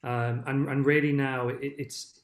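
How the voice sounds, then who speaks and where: A man's voice: mid-range voice, little pitch movement, measured pace, reverberant. A man speaking as a researcher on a panel, thoughtful and hedging, with an academic tone. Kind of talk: panel discussion.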